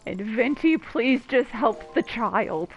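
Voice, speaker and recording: A woman commentates casually on her playthrough in a fairly high voice. She is playful and informal, on a slightly dull recording with faint game music behind her. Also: expressive, takes it slowly.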